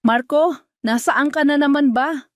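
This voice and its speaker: A woman's voice: high-pitched, unhurried, no room sound, clean studio sound, voice pushed up. Woman voicing a petulant, demanding mistress. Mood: annoyed, sulky. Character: irritated, sulky, demanding pregnant mistress.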